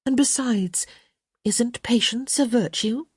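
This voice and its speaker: A pert young society lady, voiced by a female narrator, witty and unrepentant. Her pitch is lifted and lively as she answers back with polite cheek. The storybook dialogue sounds dry and close. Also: measured pace, dry recording. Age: young adult.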